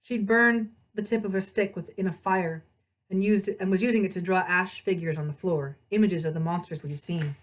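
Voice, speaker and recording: A woman reading a story aloud as narrator, in an expressive storybook style. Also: fairly low pitch, moderate pace.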